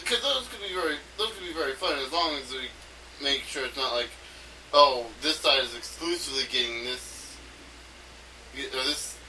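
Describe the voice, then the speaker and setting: A man giving an unscripted, rambling monologue as an amateur audio log, haltingly, repeating himself and trailing off mid-phrase. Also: medium pitch. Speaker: amateur game designer recording an audio log. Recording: noisy amateur recording, distant from mic.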